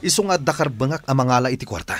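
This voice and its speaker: An actor with a Filipino accent playing a battered, resentful son, agitated and husky, in a radio drama. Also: male voice, mid-range voice, expressive, racing, over music, close to an everyday voice. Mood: agitated, defiant. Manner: pleading, agitated, emotional. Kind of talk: Philippine radio drama acting.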